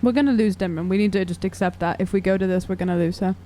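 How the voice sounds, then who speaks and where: Earnest woman with a mellow voice. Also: medium pitch, rapid-fire, a little hiss or hum. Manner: earnest, emphatic. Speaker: tabletop game player on a podcast. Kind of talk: casual in-character discussion.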